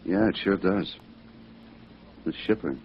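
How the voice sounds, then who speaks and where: An actor playing a gruff, puzzled harbor police inspector in a 1950s radio drama, talking in a blunt, colloquial way. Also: male voice, low voice, a little slow. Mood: resigned, cautious. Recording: dull, noisy old radio recording.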